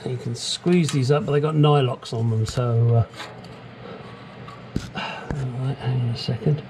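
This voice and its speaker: A man's voice: medium pitch, steady tone, a little slow, background noise. A man talking casually as he works under a car in a home garage, explaining each step, swearing now and then and cracking crude jokes, picked up by a small action camera. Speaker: DIY car mechanic. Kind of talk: casual how-to narration while working.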